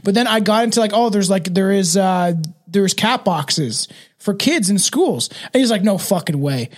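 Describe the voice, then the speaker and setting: A man's voice: high-pitched, expressive, normal speed. A man in his thirties chatting casually as a podcast host, rambling and slangy with frequent swearing. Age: 30s.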